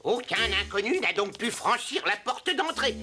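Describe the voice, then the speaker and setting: A woman voicing a cocky, excitable monkey detective in a cartoon, boastful and theatrical. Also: fairly high pitch, normal speed. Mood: pompous, sleuthing. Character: cocky excitable monkey playing self-important private detective. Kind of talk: animated series dialogue. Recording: music under the voice.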